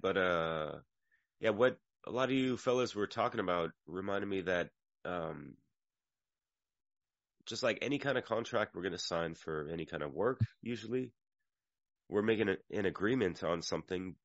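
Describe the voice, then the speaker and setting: Male panel speaker, deep and a little hoarse, chatty, halting delivery; lo-fi audio. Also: a bit bright, no room sound. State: slightly hoarse from little sleep. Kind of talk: casual reflective talk. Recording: dull lo-fi audio.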